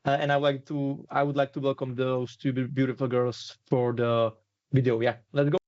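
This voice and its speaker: A man's voice: mid-range voice, flat delivery, a little fast, a little mellow, dry recording. A man hosts an online event informally, introducing the next speakers over a video call. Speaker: event host. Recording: dull, dry online call audio.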